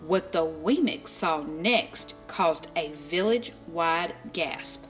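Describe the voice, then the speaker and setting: A woman narrating a children's storybook aloud, expressively and deliberately, with dramatic pauses. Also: fairly low pitch. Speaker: storybook reader. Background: music.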